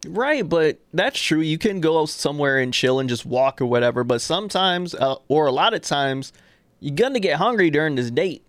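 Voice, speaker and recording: A man with a fairly high voice banters on a podcast, playful and boastful. He teases and brags in quick, lively bursts. The recording is dry and close. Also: dry recording. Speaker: podcast co-host. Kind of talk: casual banter.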